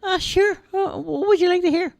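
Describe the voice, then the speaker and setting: A man voicing a simple, innocent big young man in a high, childlike, giggly voice. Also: moderate pace, vocal fry, dry recording. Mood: cheerful childlike.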